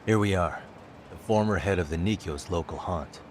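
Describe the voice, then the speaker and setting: A man playing a cool, focused detective who reasons in a measured way in an English game dub. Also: low voice, slight background noise, natural voice. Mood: calm, inquiring. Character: cool, analytical male detective, focused and composed. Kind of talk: video game cutscene dialogue. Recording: music behind the voice.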